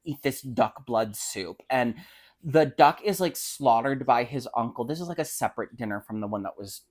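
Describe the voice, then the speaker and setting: A man's voice: mid-range voice, expressive, normal speed, a little mellow. A man from the United States co-hosting a casual book podcast, telling stories in a hyperbolic, opinionated, sweary way with lots of filler words. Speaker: podcast co-host.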